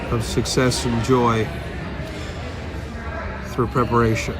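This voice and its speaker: A man giving a solemn divination reading in measured, oracular phrasing. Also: mid-range voice, little pitch movement, loud music behind the voice. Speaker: rune reader. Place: fair booth, filmed on location.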